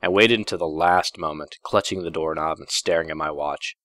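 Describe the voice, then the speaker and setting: A man with a low voice narrates fiction in the first person. His delivery is measured and deliberate with a dramatic storytelling feel, and the recording is very dry. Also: bright, forceful tone, no echo.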